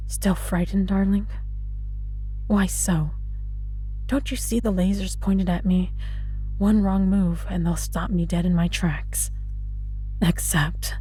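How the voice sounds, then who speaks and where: Female actor for vengeful immortal lab prisoner in audio drama: muted brightness, husky edge, sardonic menace, music underneath. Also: mid-range voice. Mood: mocking, menacing. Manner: taunting, sardonic, deliberate, addresses listener with mock endearments. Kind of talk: dramatic audio roleplay monologue.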